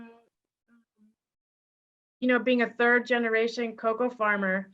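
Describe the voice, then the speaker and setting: A woman moderates a webinar question session over a video call. She asks her questions haltingly and repeats words as she goes. Her audio is dull and lo-fi. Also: mid-range voice, a bit bright. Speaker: webinar host.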